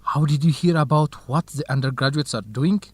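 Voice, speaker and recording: A man's voice: a little high, a little fast, husky, no room sound. A young man playing an eager, nervous journalism student in an educational radio drama, speaking earnest, carefully enunciated English. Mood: friendly, curious.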